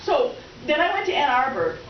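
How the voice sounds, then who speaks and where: An actress plays a melodramatic middle-aged old flame in a comic stage reading, her voice very high and lively. She gushes, clings and teeters between giggles and tears. The recording is muffled, with some noise. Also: brisk. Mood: confessional, flighty. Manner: gushing, theatrical, swinging from laughter to tears. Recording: roomy, distant, muffled noisy live recording.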